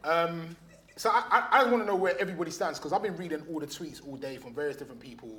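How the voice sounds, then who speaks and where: A man hosting a podcast live on stage, chatty and laughing, with loud bursts to the audience. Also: fairly high pitch, fast. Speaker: podcast host.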